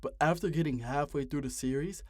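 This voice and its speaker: A man's voice: fairly high pitch, a little fast, darkish tone, no echo. Exasperated young man ranting, dry close mic. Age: 20s. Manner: exasperated, profane, emphatic. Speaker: video essay host. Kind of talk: ranting video essay narration.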